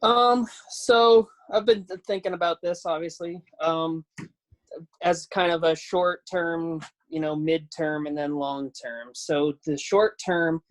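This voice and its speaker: A man's voice: fairly high pitch, fairly even intonation, slow pace. A man in his thirties, a pro wrestler talking casually on a video call, hesitant with many fillers and self-deprecating laughs. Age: 30s. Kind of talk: casual chat.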